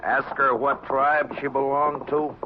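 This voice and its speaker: An actor playing a tough, weary frontier marshal in a 1950s western radio drama, speaking in a terse, deadpan way. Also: male voice, mid-range voice, slow, noisy background, lightly acted. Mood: businesslike. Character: tough, terse frontier lawman, adult man, steely and weary. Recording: dull old radio recording with music.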